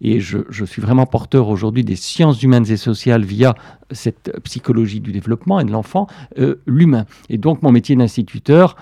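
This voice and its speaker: A man's voice: mid-range voice, brisk, vocal fry, dark tone, slightly rough, no echo, clean studio sound. An older man from Belgium, a professor, answering interview questions thoughtfully with frequent fillers. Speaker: professor guest.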